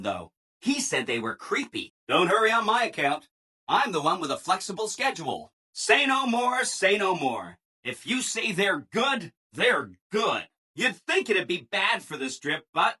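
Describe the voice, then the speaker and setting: Eager-to-please game robot, chipper, gushing, bright forceful tone, swooping pitch. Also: male voice, husky, heavily acted. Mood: chirpy, eager. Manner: chipper, exaggeratedly agreeable, gushing. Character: relentlessly cheerful, eager-to-please male robot assistant. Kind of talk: video game character dialogue.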